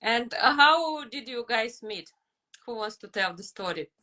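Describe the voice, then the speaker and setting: A woman's voice: fairly high pitch, animated, a little slow, sharp tone. A woman hosting an interview who asks her guests questions in a polite, structured way. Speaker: interview host. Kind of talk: hosted interview.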